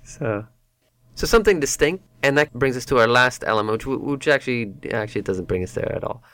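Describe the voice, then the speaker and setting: A man's voice: mid-range voice, animated, fairly quick, fairly bright tone. A man co-hosting a casual roundtable chat, who rambles and restarts his sentences as he thinks aloud. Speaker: podcast co-host.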